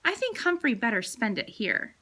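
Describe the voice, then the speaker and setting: A woman voicing a caring older wife, gently fond and expressive, in a storybook read aloud. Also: a little high, normal speed, heavily acted.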